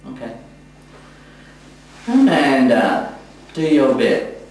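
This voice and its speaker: A man leading a group class as a teacher trainer, coaching informally and often pausing to search for words. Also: mid-range voice, big pitch swings, darkish tone. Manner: halting, searching for words, hesitant phrasing. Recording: echoey room, distant mic, background noise.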